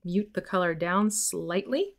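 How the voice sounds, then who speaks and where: A young woman teaching miniature painting on a livestream, explaining each step in a relaxed, easy way from home. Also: on the low side, a little slow. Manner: relaxed explaining, laughs easily. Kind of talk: casual livestream tutorial. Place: indoor home room.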